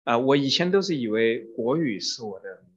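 Male consecutive interpreter for a sermon, husky and mid-pitched, following each phrase, on a muffled dull recording. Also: very animated, brisk. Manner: renders each phrase promptly, with some fillers.

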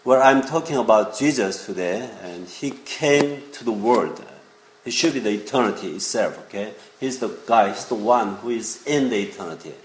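Echoey, low-voiced older preacher, a man. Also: lively intonation. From South Korea. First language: Korean. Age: 50s or older. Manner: halting non-native English, frequently checks in with listeners. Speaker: visiting preacher and seminary teacher. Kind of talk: informal sermon to young people. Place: indoor church room. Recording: echoey room with faint noise.

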